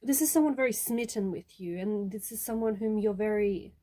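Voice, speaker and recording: A woman's voice: mid-range voice, brisk, warm tone. A woman giving an intuitive tarot reading straight to the viewer, restating each point in several ways for emphasis. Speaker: tarot reader.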